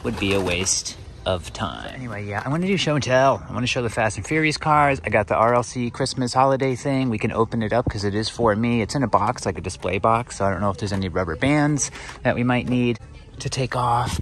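Low-voiced American man vlogging casually. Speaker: toy car collecting vlogger. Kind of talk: casual rambling chat to viewers.

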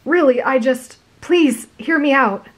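Woman reading a soft sincere young woman, bit hesitant. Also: high-pitched, a little slow, pitched above the performer's own voice. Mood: tender. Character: soft-spoken young woman, the reader's self.

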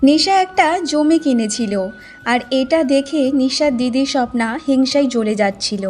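High-voiced woman narrating a fairy tale. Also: normal speed, a little mellow, a little hiss or hum.

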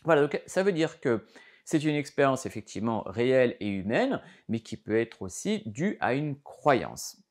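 A middle-aged male doctor lecturing straight to camera, his pitch rising and falling in an animated sing-song as he explains. He talks with his hands and keeps stopping to restart a phrase or drop in a filler. The sound is close and clean, like a lapel mic in a studio. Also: normal speed. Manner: lively, explains with hand gestures, many fillers and restarts. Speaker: doctor giving a health lesson. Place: studio before a green backdrop.

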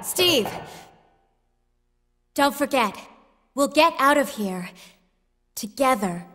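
An actress playing a determined, protective young heroine in a horror video game, earnest and urgent. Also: female voice, high-pitched, expressive, slow pace, pushed and bright, long reverb tail, faint music behind, exaggerated voice. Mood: resolute. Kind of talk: video game cutscene acting.

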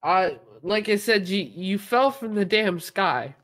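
A man's voice: high-pitched, lively intonation, unhurried, a bit bright. A man roleplaying a police officer in casual, improvised game chat. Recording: game voice chat, faint noise.

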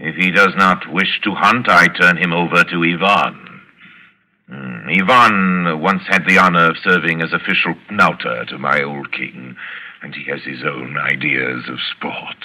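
An actor playing a cultured, sinister general who hunts men, gloating with mock courtesy in theatrical old radio style. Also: male voice, extremely low pitch, measured pace, bright, forceful tone, full character voice, poor audio. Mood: smug, menacing. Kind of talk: 1940s radio acting. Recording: old radio drama recording.

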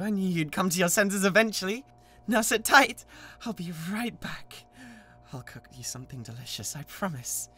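Unhinged British yandere classmate, wildly swooping voice. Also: female voice, mid-range voice, a little hiss or hum. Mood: manic coaxing, then tender, possessive. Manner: theatrical, swinging between hushed coaxing and manic intensity. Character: obsessive, unhinged young yandere classmate, possessive and menacing. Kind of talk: dramatic ASMR roleplay monologue.

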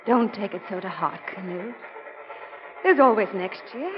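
A woman's voice: medium pitch, expressive, unhurried, lightly acted. An actress plays a patient, supportive wife with a wry streak in a 1940s radio play. The woman's voice comes through a muffled old broadcast. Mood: gentle, consoling.

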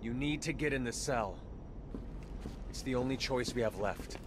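A man's voice: medium pitch, moderate pace, close to an everyday voice. A man playing a young sidekick vigilante in a video game, earnest and hot-headed, speaking in an urgent, pleading, emotional way. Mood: desperate, angry. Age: young adult. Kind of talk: video game drama acting. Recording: clear background noise.

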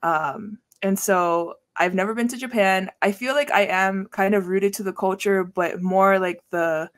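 A woman's voice: medium pitch, steady tone, measured pace. Guest, 30s woman, easygoing chat with fillers, home podcast mic on a video call. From Hawaii. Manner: chatty, laughs often, many fillers. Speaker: guest, educator and podcast host. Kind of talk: casual video-call interview. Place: small room at home, indoors. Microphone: podcast mic with headset on video call.

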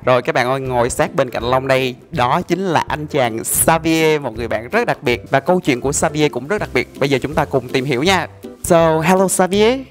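A man's voice: fairly high pitch, fast, faint music behind. A young man with a Vietnamese accent, a native Vietnamese speaker, hosting a casual interview. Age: young adult. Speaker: host, English learning presenter. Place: indoor café-like open room. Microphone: handheld lavalier mic.

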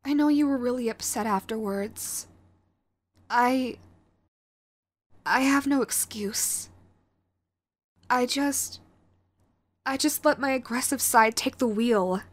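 A woman's voice: on the high side, slow pace, long pauses. A woman playing a young, sweet, clingy yandere girlfriend in an intimate audio roleplay, with a cutesy, hesitant manner. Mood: remorseful, hesitant. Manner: cutesy, hesitant, gushing pet names. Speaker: voice actress.

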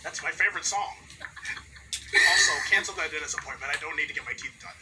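A woman's voice: low-pitched, fast, a little hiss or hum, low-quality recording. A woman voicing a boastful, scheming mad scientist villain in a comedy fan dub, crude and ranting. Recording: fan dub with loud music behind.

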